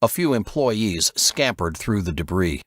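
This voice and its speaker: A man narrates a story in a low voice with animated, varied pitch. The delivery is scripted audiobook reading, recorded very dry and close. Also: measured pace, no room sound.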